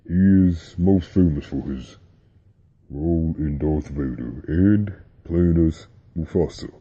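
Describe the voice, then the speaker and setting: A man's voice: extremely low pitch, slow, husky. A young man talking casually and rambling a little, using slang as he chats to his viewers. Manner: relaxed, rambling, with fillers and slang. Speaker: vlogger. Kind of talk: casual talk to camera about deep voices. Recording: dull lo-fi sound.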